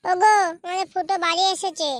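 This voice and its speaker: A woman's voice: extremely high pitch, fairly even intonation, a little fast, slight background noise. A woman voicing a protective, plaintive village mother in a comedy cartoon, scolding and wailing. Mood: pleading. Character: tearful, pleading village mother, protective of her son. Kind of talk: comedy cartoon dubbing in colloquial village speech.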